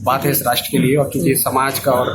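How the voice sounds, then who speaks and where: A man's voice: mid-range voice, little pitch movement, fast talker, very noisy. A man who volunteers as a singing leader, giving explanatory answers in an interview. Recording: loud music behind.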